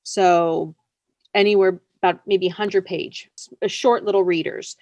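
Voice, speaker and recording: A woman's voice: lowish voice, fairly even intonation, a little slow, darkish tone, no room sound. A woman speaking casually as an author being interviewed.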